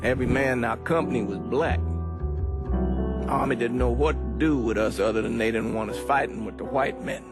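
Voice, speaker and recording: A man's voice: medium pitch, fairly even intonation, brisk, close to an everyday voice. Male actor as elderly American soldier recalling war, modest, plain-spoken, reflective, game cutscene, prominent music. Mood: proud, reflective. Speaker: war veteran.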